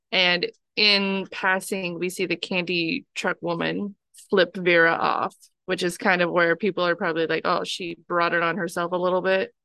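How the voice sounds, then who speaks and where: A young adult woman co-hosting a podcast, briskly summarizing a film plot in casual chat. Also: fairly low pitch, creaky, sharp tone, no room sound. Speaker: podcast co-host.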